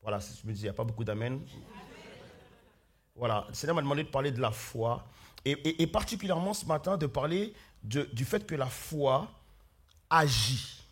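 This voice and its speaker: A man preaching a sermon as pastor, hoarse with flu, earnestly calling out questions to his congregation. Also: mid-range voice, expressive, moderate pace. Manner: earnest, calls out questions to the congregation, many fillers and restarts. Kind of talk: sermon with opening prayer. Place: church hall.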